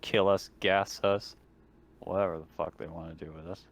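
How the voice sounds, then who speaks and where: A man's voice: low voice, steady tone, normal speed, creaky, slight background noise. A man chatting casually and bluntly, with some swearing, as a player in a tabletop role-playing game.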